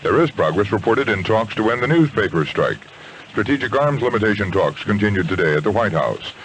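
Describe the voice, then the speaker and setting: Male news anchor, American, lowish voice, scripted headlines, faintly noisy vintage AM radio. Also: sing-song, brisk, a bit bright. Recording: noisy 1970s AM radio aircheck.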